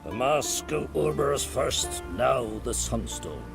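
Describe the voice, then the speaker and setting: A man's voice: mid-range voice, animated, unhurried, creaky, over music. A man voicing a learned, grumpy old druid in a video game, wry and sententious in manner. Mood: wry, disapproving.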